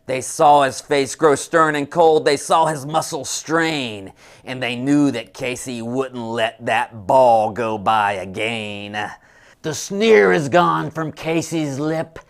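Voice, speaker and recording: A man giving a dramatic poetry reading in a theatrical, deliberate way, swinging between hushed tones and high, loud exclamations. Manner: drawn-out, deliberate emphasis. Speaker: sports columnist reciting a poem.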